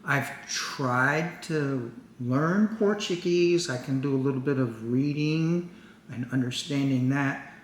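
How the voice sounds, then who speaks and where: A man's voice: medium pitch, slow. Jovial older American man in echoey room. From United States. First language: English. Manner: jovial, chuckling, folksy asides. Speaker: co-host. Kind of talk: casual couple chat. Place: home. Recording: echoey room with faint background noise.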